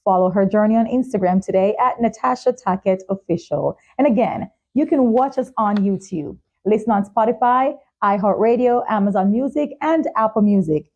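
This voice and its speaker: A woman's voice: mid-range voice, moderate pace, hardly any pauses, darkish tone, muffled recording. A woman hosting a talk show and podcast, speaking as the presenter to guest and audience. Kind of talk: interview hosting.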